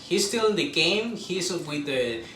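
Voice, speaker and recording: Higher-voiced man rambling in an echoey room. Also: sing-song, measured pace, fairly bright tone, faint music behind. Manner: rambling, repeats himself, informal. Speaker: video creator reviewing a film. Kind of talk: casual excited fan review to camera. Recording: roomy echo, distant mic, faint noise.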